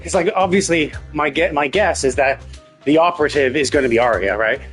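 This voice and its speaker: A man's voice: fairly high pitch, fairly quick, a bit bright, faint music behind. A man, live streaming casual game commentary in an informal, profane, explanatory and opinionated way.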